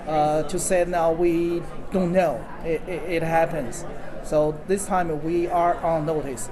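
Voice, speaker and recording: A speaker with a middle-pitched voice talks slowly and emphatically in an interview. A crowd is clearly heard behind them.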